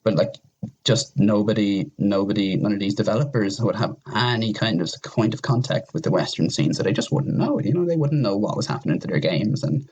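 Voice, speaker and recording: A man's voice: fairly low pitch, expressive, fairly quick, a little mellow, husky, lo-fi sound. A man in a casual podcast discussion, rambling and emphatic, opinionated and given to mild swearing. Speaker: podcast panelist.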